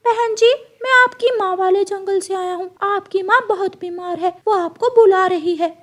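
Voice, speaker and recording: A woman voicing an urgent male parrot messenger in a cartoon story, calling out loudly. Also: extremely high pitch, moderate pace, pitched above the performer's own voice.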